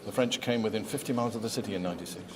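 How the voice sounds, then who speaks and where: An actor playing a combative patriotic war veteran in a period drama, gruff and challenging. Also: male voice, on the low side, very fast, crowd noise, lightly acted. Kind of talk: period television drama acting.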